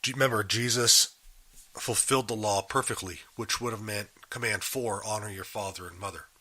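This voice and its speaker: Man, Bible podcast host, raspy and husky from a cold, bright forward tone, earnest questioning delivery. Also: fairly low pitch, fairly even intonation, brisk. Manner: earnest, asks the listener questions. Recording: live and unedited.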